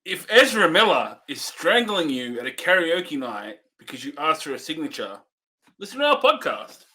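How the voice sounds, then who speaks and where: A man's voice: on the high side, very animated, normal speed, sharp tone, rough recording. A man co-hosting a casual review podcast, laughing often and throwing in dry jokes. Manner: laughs easily, dry joking asides. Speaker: podcast co-host.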